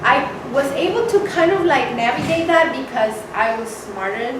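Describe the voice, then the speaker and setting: A woman's voice: high-pitched, moderate pace. Woman speaker from Mexico, echoey distant hall. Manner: candid, personal, animated retelling. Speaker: guest speaker. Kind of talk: storytelling talk to an audience. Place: event hall with audience. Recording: echoey hall, mic from far off, noisy.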